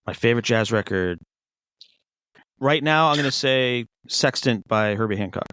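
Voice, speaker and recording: A man's voice: medium pitch, normal speed, fairly bright tone, clear, resonant tone. A man in his fifties in laid-back casual chat, hesitant with fillers and swearing freely. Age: 50s.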